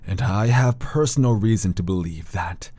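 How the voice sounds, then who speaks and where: Man playing a grave, deep-voiced scholar. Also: normal speed, dark tone. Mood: secretive. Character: grave, learned male university doctor guarding occult secrets, guarded. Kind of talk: audio drama dialogue.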